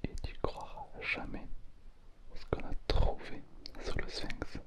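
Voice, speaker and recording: A man whispering in a breathy, hushed ASMR roleplay as an awed adventurer, telling his story with deliberate pauses. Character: awed YouTube challenge adventurer showing ancient finds. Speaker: ASMR performer.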